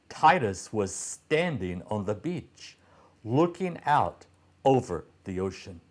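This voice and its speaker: A man reading a children's story aloud as a narrator, phrasing deliberately with frequent pauses. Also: on the low side, darkish tone.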